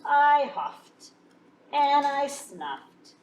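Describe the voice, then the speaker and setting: A woman voicing an aggrieved, folksy male wolf who insists he is innocent, in an animated children's storybook read-aloud with drawn-out emphasis. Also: high voice, heavily acted. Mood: indignant. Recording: echoey room, distant mic, faint noise.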